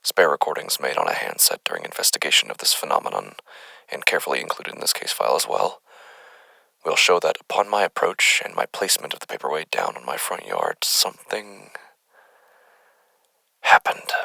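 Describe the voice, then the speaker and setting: An unsettled male doctor played by an actor records paranormal findings for a horror audio drama. The voice is very deep and creaky, recorded close and dry. He speaks in wordy, deliberate formal phrases with halting breaks. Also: sing-song, bright, forceful tone, no room sound, exaggerated voice, low-quality recording. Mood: clinical, intrigued. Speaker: investigator narrator. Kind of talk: horror audio drama monologue, formal dictated case log.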